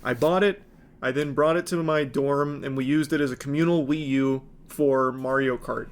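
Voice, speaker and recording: A man's voice: medium pitch, steady tone, normal speed. A man talking casually to camera, joking and mock-scolding as he tells a story.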